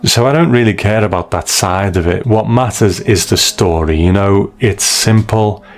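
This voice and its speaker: A man's voice: fairly low pitch, moderate pace. A man hosting a reflective, opinionated commentary on classic television in a conversational style. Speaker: host reviewing a classic TV episode. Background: faint music.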